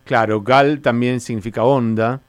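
A man's voice: medium pitch, steady tone, moderate pace, smooth, no echo. A man with a Rioplatense Spanish accent chatting casually, joking and rambling as he explains things. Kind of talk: casual comic banter.

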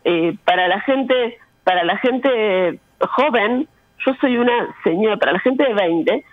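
A woman's voice: mid-range voice, expressive, a little slow, no room sound, narrow, tinny audio. A woman in her fifties with an Argentine accent, speaking frankly and emphatically as an interview guest, often with rhetorical questions. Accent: Argentine Spanish. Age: 50s. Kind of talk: candid conversational interview.

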